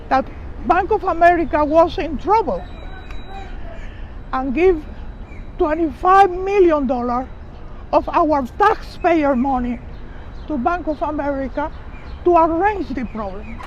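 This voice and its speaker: Older woman, Cuban accent, press conference speaker. Slow, deliberate delivery on a dull outdoor recording. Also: high voice, a little hiss or hum. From Cuba. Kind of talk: public testimony speech.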